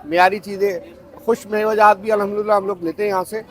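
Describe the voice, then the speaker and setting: Guy, longtime customer, high voice, praising the shop. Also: steady tone, fast talker, slight background noise. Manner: praising, devout phrases.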